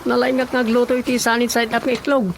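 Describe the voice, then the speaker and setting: A woman's voice: fairly high pitch, level tone, fast, close to an everyday voice. A woman playing a grumbling, easily exasperated villager in a comedic radio drama, with an Ilocano Filipino accent and exclaiming delivery. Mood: puzzled, grumbling. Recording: clear background noise.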